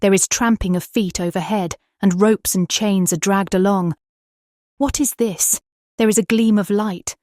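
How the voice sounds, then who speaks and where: A woman playing a gentle young married woman speaking slowly and dreamily in a gothic audiobook drama. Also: medium pitch, steady tone, dry recording, studio quality, close to an everyday voice. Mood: entranced, eerie.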